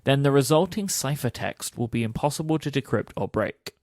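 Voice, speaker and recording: A man's voice: medium pitch, expressive, fairly quick, darkish tone, dry recording, studio quality. A man co-hosting a podcast, switching between scripted explanation and teasing banter. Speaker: podcast co-host.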